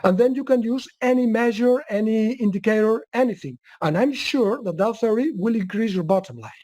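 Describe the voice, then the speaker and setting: Spanish accent guy giving a webinar talk, high voice, dry sound, lots of restarts. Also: animated, brisk, a little mellow, no room sound. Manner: restarts often, apologetic about his accent. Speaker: guest presenter. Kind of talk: webinar presentation and Q&A.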